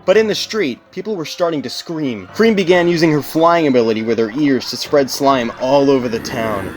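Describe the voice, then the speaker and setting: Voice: mid-range voice, normal speed, soft background music. A narrator reading a scripted dramatic audiobook story.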